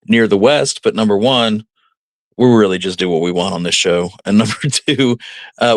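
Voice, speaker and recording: A man with a somewhat low voice chats casually as co-host of a history show. His talk is unscripted and conversational. The sound is close and very dry, free of echo.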